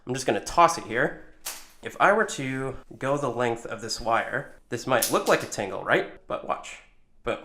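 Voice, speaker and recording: A man's voice: medium pitch, sing-song, normal speed, bright, forceful tone, a little hiss or hum. Casual man giving a hands-on tutorial. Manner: informal, talks through each hand movement step by step. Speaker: tutorial presenter. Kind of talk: casual hands-on instructional demonstration.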